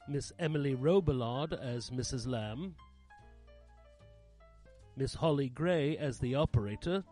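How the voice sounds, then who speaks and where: A man's voice: mid-range voice, warm tone. A man reading out cast credits as a formal old-time radio announcer. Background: instrumental music.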